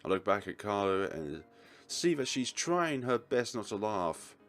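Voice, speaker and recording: A man's voice: on the low side, very animated, a little slow. A man reading a game's narration and dialogue aloud as a let's player, with casual asides. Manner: casual asides and short reactions. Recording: faint game music behind.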